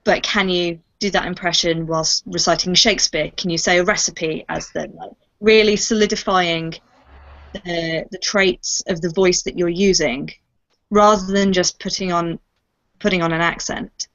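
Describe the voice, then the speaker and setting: A woman's voice: lowish voice, fairly even intonation, normal speed, fairly bright tone. A woman, a voice actor, casually giving advice in a panel Q&A. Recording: dull lo-fi online call audio.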